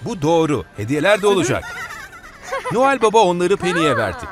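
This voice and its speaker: High, animated male cartoon narrator, encouraging; loud music underneath. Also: brisk. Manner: encouraging, talks to the truck and little cars. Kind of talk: cheerful scripted cartoon narration.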